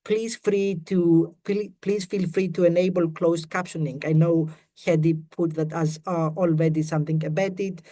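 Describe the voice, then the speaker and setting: Brief: Greek-accented male academic, mellow voice, measured pace, reading a prepared talk over a video call. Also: fairly high pitch, fairly even intonation. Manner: careful, measured reading of prepared text with quotes. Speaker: academic panellist. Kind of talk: academic webinar presentation.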